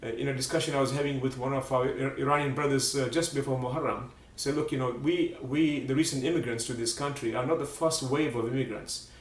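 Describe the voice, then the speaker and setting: Man, program host, halting with fillers, mid pitch, echoey, far from mic. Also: fairly even intonation. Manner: halting, many filler pauses. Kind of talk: live religious program hosting. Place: Seattle area. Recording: roomy, distant mic.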